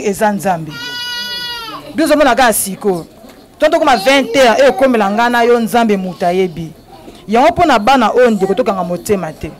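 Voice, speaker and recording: A middle-aged woman, giving an earnest, preaching speech into a handheld microphone in an orphanage courtyard. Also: fairly high pitch. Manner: earnest, preaching tone, tearful at times. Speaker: NGO president visiting an orphanage. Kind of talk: impromptu speech to a crowd.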